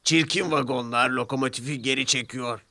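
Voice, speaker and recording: A man's voice: medium pitch, fairly even intonation, a little fast, bright, forceful tone, natural voice. A man voicing a big, boastful, grumbling steam locomotive in a children's story. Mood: sulky. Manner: pompous, grumbling, repeats himself. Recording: music behind the voice.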